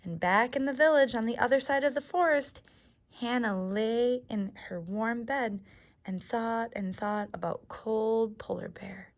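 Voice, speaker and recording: Female storyteller for young children: slow, deliberate read-aloud, slightly high pitch, encouraging delivery. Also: muffled recording. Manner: simple, encouraging address to young listeners.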